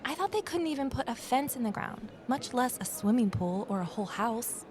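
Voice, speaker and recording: A woman's voice: a little high, sing-song, brisk, over music. A woman acting in an audio drama as an earnest, inquisitive amateur sleuth who also narrates her own story. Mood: curious. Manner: earnest, questioning. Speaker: lead character and narrator.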